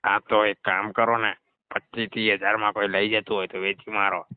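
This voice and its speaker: A man's voice: lowish voice, flat delivery, normal speed, smooth, lightly acted. A man playing a cheeky, evasive garage customer, nonchalantly needling and stalling in a scripted comedy phone call. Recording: dull lo-fi phone call recording.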